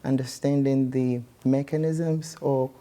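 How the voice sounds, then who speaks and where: A man from Ghana, a guest scientist explaining his research in measured speech with many pauses. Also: medium pitch, little pitch movement, mellow.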